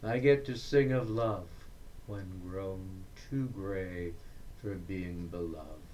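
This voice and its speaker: Very slow, low-voiced man reciting verse. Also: a little mellow, a little hiss or hum. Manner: deliberate, declamatory, with pauses between short phrases. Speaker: reader of poetry. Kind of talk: dramatic recitation of Victorian verse.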